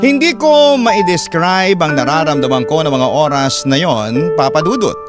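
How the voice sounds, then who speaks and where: Man narrating over loud music. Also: on the high side, animated, normal speed. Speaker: radio story host and narrator. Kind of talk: dramatic first-person letter reading.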